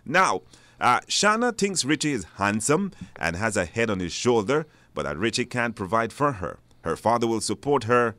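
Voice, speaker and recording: A man's voice: mid-range voice, sing-song, normal speed, pushed and bright, no room sound. A man with a Belizean accent hosting a live radio call-in show, putting questions to callers. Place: radio studio.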